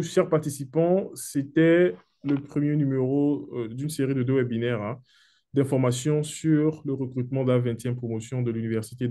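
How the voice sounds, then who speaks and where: A man's voice: mid-range voice, warm tone. A man moderating an online information session, formal and polite, addressing officials by their titles. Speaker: webinar moderator.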